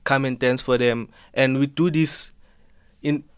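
An eighteen-year-old man answers earnestly and politely, addressing the host as ma'am. His voice is smooth and resonant. The studio sound is dry and close. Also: medium pitch, steady tone, unhurried, no echo. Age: teens. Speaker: dancer and fashion subculture member. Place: radio studio.

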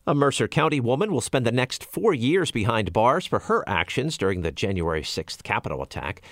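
An American man hosting radio news, reading scripted stories in a measured, explanatory way. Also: medium pitch, sing-song, a bit bright, dry recording, clean studio sound.

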